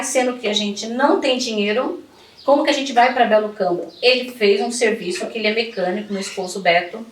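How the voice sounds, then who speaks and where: A middle-aged woman gives personal testimony in conversation, devout, colloquial and emphatic. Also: a little high. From São Paulo, Brazil. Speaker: interview guest telling her life story. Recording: echoey, distant mic, clearly noisy.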